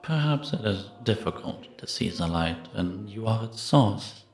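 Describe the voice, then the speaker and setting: A man playing a gentle, patient priest in a cinematic audio roleplay, probing with measured, contemplative questions. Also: on the low side, lively intonation. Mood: serene, earnest. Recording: echoey, reverberant room.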